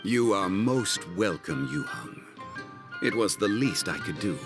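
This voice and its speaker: A man playing a composed, learned gentleman consultant in a video game, with formal, measured, eloquent delivery. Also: sits low, animated. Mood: courteous. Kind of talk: scripted video game cutscene acting. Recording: music behind the voice. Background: background music.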